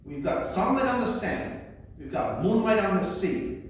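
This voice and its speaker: Male poetry reader, notably high voice, theatrical emphasis, heard from a distance in a very reverberant space. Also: lively intonation, unhurried. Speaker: librarian presenting a poetry reading. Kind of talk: poetry recitation with spoken introductions. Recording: roomy echo, distant mic, faint noise.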